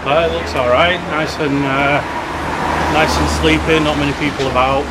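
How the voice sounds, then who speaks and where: A man's voice: on the high side, fairly even intonation, moderate pace. A man in his thirties chatting casually with dry humour and trailing fillers on a road-trip vlog. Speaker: vlog co-host. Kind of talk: casual chat. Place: outdoors on streets and by a car. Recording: loud street and traffic noise.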